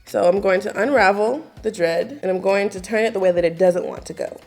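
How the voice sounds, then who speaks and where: A woman in her twenties giving a casual, chatty hair tutorial, her voice a little raspy from just waking up, with lots of filler words. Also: mid-range voice, brisk, soft background music. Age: 20s. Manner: relaxed, chatty, lots of filler likes and ums. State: slightly raspy morning voice.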